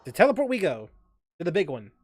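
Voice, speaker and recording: A man's voice: sits high, wildly swooping pitch, fast, slight background noise. A man giving casual gameplay commentary, thinking aloud and often repeating himself. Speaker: game streamer.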